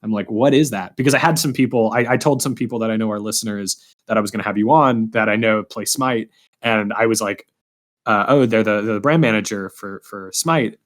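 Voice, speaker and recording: A man hosting a casual interview podcast, speaking informally and haltingly, often repeating his words. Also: on the low side. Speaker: podcast host.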